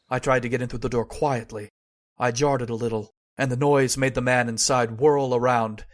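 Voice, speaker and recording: A man's voice: medium pitch, lightly acted. A man playing a skeptical but haunted narrator in an old-time radio horror drama.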